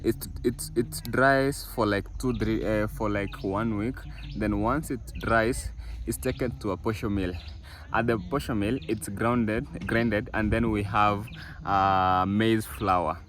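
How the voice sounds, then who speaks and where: A man's voice: fairly low pitch, steady tone, slow pace, fairly bright tone. Chatty man filming outdoors. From East Africa. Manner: chatty, many fillers and restarts, addresses viewers as guys. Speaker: village vlogger. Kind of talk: casual walk-through vlog. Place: outdoors on a farm field.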